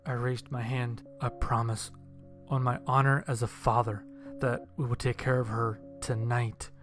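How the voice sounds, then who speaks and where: A man's voice: mid-range voice, level tone, a little slow, fairly bright tone. A man narrating a scripted first-person story and acting out his own lines of dialogue. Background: background music.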